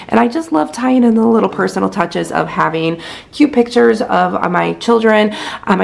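A woman's voice: on the low side, gravelly. A woman in her thirties with a scratchy voice, chatting casually through a home decor tour indoors with a handheld phone, full of fillers. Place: inside a house. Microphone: handheld phone camera.

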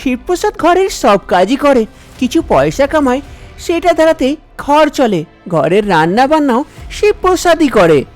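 A woman narrating a cartoon story, dropping her voice lower to play the men. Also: very animated, moderate pace, a little mellow. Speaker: narrator voicing all male characters. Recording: music bed under the voice.

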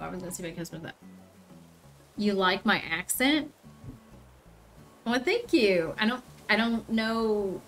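A woman in her thirties with a Southern US accent, chatting casually on a gaming stream, reading chat aloud and often pausing with ums. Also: mid-range voice, a bit bright. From Southern United States. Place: small room indoors. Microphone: headset.

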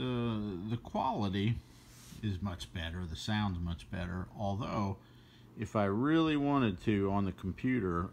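Man, low gravelly voice, casual hobbyist demo, some background noise. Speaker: shortwave radio hobbyist presenting a demonstration. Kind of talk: casual unscripted demonstration.

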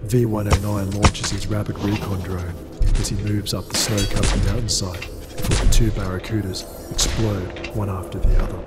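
Male narrator, deep voice, little inflection, solemn cinematic sci-fi storytelling, loud music bed. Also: level tone, normal speed, roomy sound, big-room sound.

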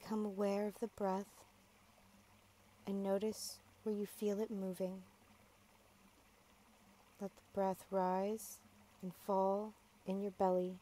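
A woman guiding a yoga nidra meditation, giving calm, measured, gentle instructions with long pauses. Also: mid-range voice. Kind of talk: guided meditation, slow soothing instruction.

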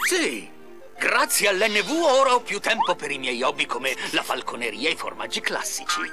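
A gushing satisfied customer from a cartoon infomercial. His slightly high, animated voice sounds earnest, with clear music underneath. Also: moderate pace. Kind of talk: parody infomercial testimonial.

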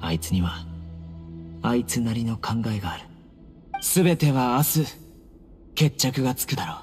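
A male actor as a stern young warrior judge from an anime game, composed and deliberate. His voice sits in a middle male pitch. Game music plays clearly behind him. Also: very animated, close to an everyday voice. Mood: grave, reflective. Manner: measured, solemn, deliberate.

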